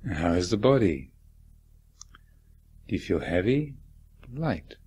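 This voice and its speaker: Male meditation guide, husky and slow, gently reflective, leaving generous space between phrases. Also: deep voice, big pitch swings. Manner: gentle reflective questioning, deliberate phrasing with long pauses. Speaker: meditation teacher.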